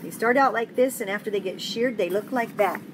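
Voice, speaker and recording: An older woman reading a picture book aloud to young children, gently and encouragingly, with chatty asides and questions to the listener. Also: mid-range voice, a little fast. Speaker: children's story reader and host. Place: indoor home office. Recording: clear background noise, room ambience.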